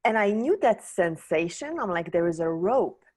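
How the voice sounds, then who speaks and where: A woman's voice: fairly low pitch, lively intonation, normal speed, a little mellow. A woman from Poland telling her personal story as a podcast guest, candid and searching, often restarting her sentences.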